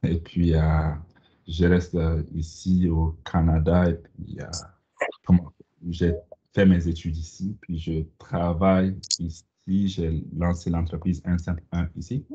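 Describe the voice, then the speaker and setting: A man's voice: deep voice, flat delivery, unhurried, mellow, highs cut off. A man from Mali presenting a business webinar over a video call, hesitant with many fillers and restarts. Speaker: company CEO presenting a webinar. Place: home or office in Canada. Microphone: video call microphone.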